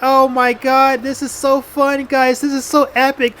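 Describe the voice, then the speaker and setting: A man putting on a high, over-excited voice to mock a shallow casual male gaming YouTuber. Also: unhurried, exaggerated voice. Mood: giddy, gushing. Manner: breathless, over-excited gushing.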